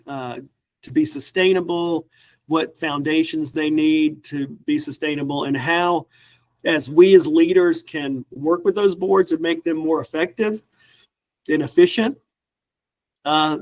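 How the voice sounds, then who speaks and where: Casting: man in his fifties, stuffy and a little rough, fairly high voice, relaxed webinar presenter full of fillers. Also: poor audio. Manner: relaxed, many ums and uhs. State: stuffy, recovering from illness. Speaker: library director presenting training. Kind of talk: webinar lecture.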